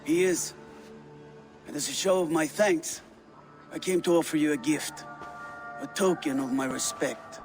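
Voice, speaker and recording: A battle-hungry Viking lord from a video game, acted by a man. He speaks with deliberate, formal courtesy and proud fatalism. Faint music sits behind the voice. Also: fairly high pitch, close to an everyday voice. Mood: formal gratitude.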